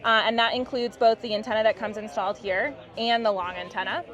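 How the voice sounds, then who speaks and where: A woman's voice: on the high side, steady tone, brisk, a bit bright. A woman explains a product as a company representative, in an upbeat, informal, explanatory way. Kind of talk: explanatory product demo in an interview. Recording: muffled band-limited audio with music behind. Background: background music.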